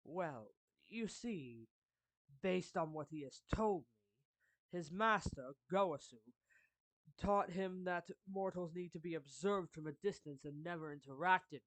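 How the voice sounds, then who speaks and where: Casting: performer as a suave anime villain turned protective friend, formal and deliberate, slow delivery, mid pitch, dry close recording. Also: fairly bright tone, no echo, close to an everyday voice, poor audio. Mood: explanatory, grave. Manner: formal, measured, deliberate, pausing mid-phrase. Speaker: character voice actor. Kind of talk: anime fan roleplay monologue addressed to the listener.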